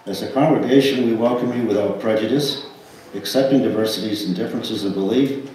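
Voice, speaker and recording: An older man reading a formal, measured welcome to a congregation as a church trustee. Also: fairly low pitch, darkish tone. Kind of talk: scripted service welcome and announcements. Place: small church sanctuary, indoors. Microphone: pulpit microphone, picked up by distant camera. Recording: echoey church hall, distant mic, faint noise.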